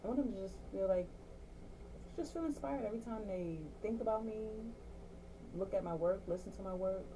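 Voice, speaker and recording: A woman's voice: medium pitch, a little fast, background noise. A woman from Jamaica with a Jamaican accent, a guest chatting earnestly and repeating words for emphasis. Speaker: guest, entrepreneur and artist. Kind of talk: casual interview chat.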